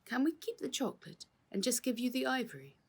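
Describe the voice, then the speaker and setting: A woman plays an earnest young girl in a children's storybook. She speaks up boldly and insistently, her pitch lifted high above the narration, with a husky edge. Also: big pitch swings, a little fast, full character voice. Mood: hopeful.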